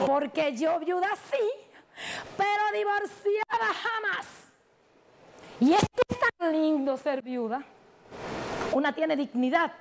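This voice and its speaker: An actress playing a bitter, indignant middle-aged widow in a theatrical comic monologue, emphatic and sardonic, with a Dominican Spanish accent. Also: female voice, very high-pitched, a little hiss or hum, full character voice. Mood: smug, relieved.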